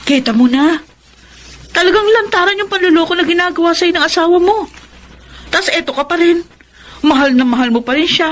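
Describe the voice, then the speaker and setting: High-voiced, scolding Filipino big sister. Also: female voice, fairly quick, pauses often. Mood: exasperated. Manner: exasperated, frank. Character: blunt, scolding, protective older sister. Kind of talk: Filipino radio drama acting.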